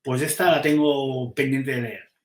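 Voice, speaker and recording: A man's voice: medium pitch, steady tone, measured pace, echoey room. A man, a comics publisher, chatting casually on a podcast and thinking aloud as he weighs which titles to publish, with frequent restarts.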